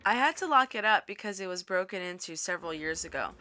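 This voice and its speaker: A woman's voice: mid-range voice, expressive, fast, heavy background noise, close to an everyday voice. A woman playing a practical, wry young lighthouse keeper and inventor, narrating and speaking in an audio drama in a conversational way. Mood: matter-of-fact.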